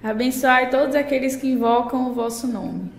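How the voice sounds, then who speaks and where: A woman's voice: high voice, normal speed, vocal fry. A woman reading liturgical intercessions as a prayer leader. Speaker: prayer reader. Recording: echoey hall with long reverb.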